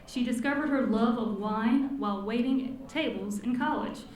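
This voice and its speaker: A woman delivers a formal, scripted address, her voice sitting a bit high. The sound rings through a large hall with strong echo. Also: moderate pace, a little mellow. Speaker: city commissioner introducing speaker. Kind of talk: prepared speech. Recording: echoey hall.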